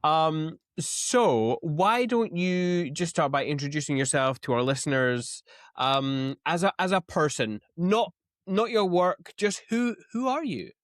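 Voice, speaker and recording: A man's voice: fairly high pitch, animated, measured pace, dry recording, clean studio sound. A man hosting a podcast in a casual, chatty style. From Scotland. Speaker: podcast host.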